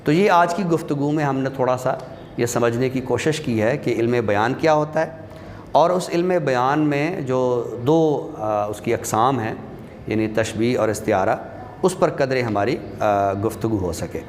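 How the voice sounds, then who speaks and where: Male lecturer, mid-pitched, explanatory classroom delivery, long room reverb. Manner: explanatory, addresses listeners directly. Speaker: literature lecturer. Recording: reverberant hall, clear background noise.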